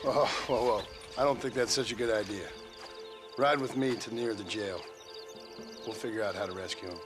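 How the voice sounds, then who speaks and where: A man playing a gruff, weary, sardonic American cowboy in a video game, laconic and dry, with an American accent. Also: on the low side, moderate pace, music in the background, natural voice. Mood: concerned, brisk. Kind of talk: video game cutscene acting.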